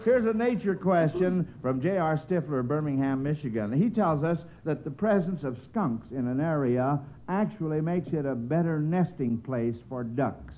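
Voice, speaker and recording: A man's voice: a little high, lively intonation, normal speed, hardly any pauses, phone-quality sound. A man hosting an old-time radio quiz show, chatty and folksy, often stretching out his phrases as he goes. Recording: scratchy, noisy, thin old radio recording.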